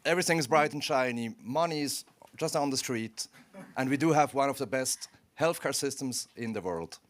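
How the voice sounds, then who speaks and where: A man's voice: medium pitch, normal speed. A man from Switzerland presents his company to a conference audience, speaking English with a Swiss accent as a non-native speaker. He opens with ironic, self-deprecating humor. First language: not English. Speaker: startup CEO presenting. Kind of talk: conference pitch talk. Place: conference stage.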